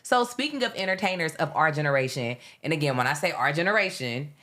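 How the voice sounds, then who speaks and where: Woman, late thirties, podcast host, lively delivery, lowish pitch. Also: fast. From South Carolina. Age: 30s. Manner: animated storyteller, laughs often. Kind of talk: casual chat.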